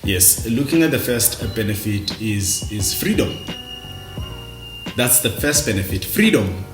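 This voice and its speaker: A South African man talking casually and reflectively to camera, halting and repeating words as he goes. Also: lowish voice, expressive, reverberant, over music. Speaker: vlogger. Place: indoors, dim room at night.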